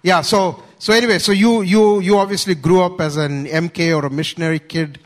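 A man's voice: sits high, fairly even intonation, fairly quick. A man interviewing a guest, asking rambling, hesitant questions.

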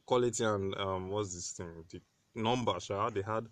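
Podcast co-host, male, low-ish voice, excitable, teasing, foul-mouthed, dry close mic. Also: moderate pace, no room sound. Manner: excitable, swears freely, teasing. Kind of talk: casual chat.

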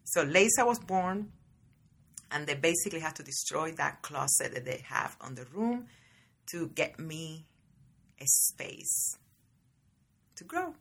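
A woman, hosting a podcast and telling her life story in a chatty, lively way, often calling her listeners guys. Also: low-pitched, unhurried, pauses often, breathy edge. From Cuba. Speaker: podcast host. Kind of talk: casual personal storytelling.

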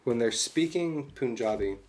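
A man's voice: medium pitch, expressive, a little slow, a little mellow, slight background noise. A man co-hosting a podcast, rambling in a laid-back way and chuckling. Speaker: podcast co-host. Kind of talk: casual chat.

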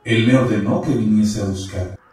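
A man's voice: on the low side, moderate pace, warm tone, natural voice. Guy doing a solemn pleading angel in a radio drama, preachy, super echoey and kinda far off. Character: solemn pleading male angel, God's messenger. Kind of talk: radio theatre acting. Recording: very echoey, distant, music underneath.

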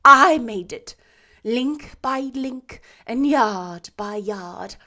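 A woman voicing a mournful male ghost bound in chains, booming and drawn out in a storybook reading. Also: animated, exaggerated voice. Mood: wailing, mournful. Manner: loud, drawn-out, lamenting delivery.

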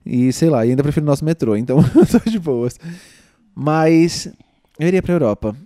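A man's voice: a little high, a little fast, warm tone, no echo, studio quality. A young man with a São Paulo accent, chatting casually and animatedly, full of slang and gushing repetition. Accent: São Paulo Portuguese. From Brazil. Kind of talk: casual chat.

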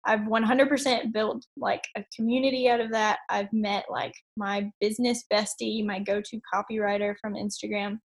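A woman's voice: mid-range voice, flat delivery, moderate pace, hardly any pauses, low-quality recording. A woman in her late twenties, a podcast guest talking casually and upbeat, with frequent ums and little laughs. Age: 20s. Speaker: podcast guest, online entrepreneur. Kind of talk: casual interview chat.

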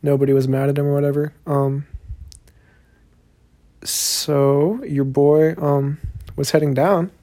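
A man's voice: a little high, steady tone, slow, long pauses, dark tone. A young man with a Southern Texan accent hosting a solo podcast, rambling in a laid-back, profane way with plenty of fillers. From Texas, USA. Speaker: podcast host.

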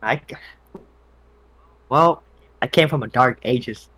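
A man's voice: medium pitch, takes it slowly, a bit bright, breathy edge. Playful man from Vietnam, joking. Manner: playful, jokey banter. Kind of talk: casual chat.

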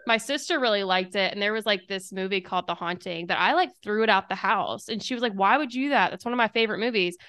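Chatty, curious woman with a clear voice. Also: mid-range voice, fast, hardly any pauses, a bit bright. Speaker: podcast host. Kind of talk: conversational interview.

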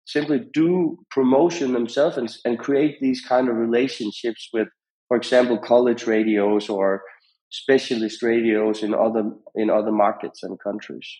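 A man giving conversational advice as a podcast guest, in halting phrases with frequent repetitions. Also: mid-range voice, fairly even intonation, mellow, low-quality recording. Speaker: podcast guest, founder of a radio monitoring company.

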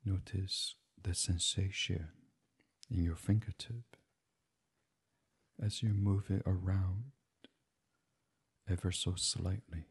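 A man leading a gentle guided sleep meditation in a soothing, hushed, breathy voice that drifts toward whispering. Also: deep voice, steady tone, slow pace, long pauses.

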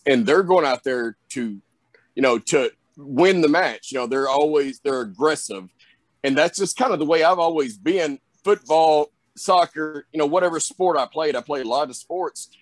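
A man's voice: a little high, dry recording. Young southern guy with a drawl, talks in loose rambling bits, dry sound no room. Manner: rambling, lots of fillers, drawling. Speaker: wrestler guest. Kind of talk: casual interview chat.

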